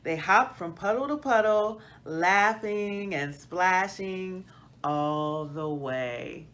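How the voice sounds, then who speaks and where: A middle-aged woman hosting a children's read-aloud, reading storybook style with deliberate, expressive phrasing. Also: fairly low pitch. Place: small plain indoor room.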